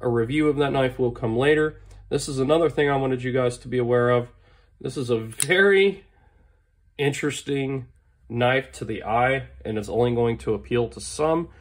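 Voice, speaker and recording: A hoarse man getting over a lost voice, hosting a knife channel with eager, casual show-and-tell and frequent throat clearing. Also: mid-range voice, normal speed. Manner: enthusiastic, clears throat often, filler-heavy. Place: indoors at a desk. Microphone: overhead camera on table, hands only.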